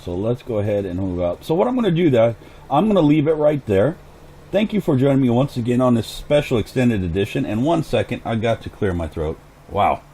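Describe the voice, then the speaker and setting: A man casually commenting on his own farming game as host, thinking aloud as he plays, his voice hoarse and going. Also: medium pitch, sing-song, brisk, dark tone, slight background noise, low-quality recording. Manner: chatty, thinks aloud while playing. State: hoarse, clearing throat. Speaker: gaming channel host.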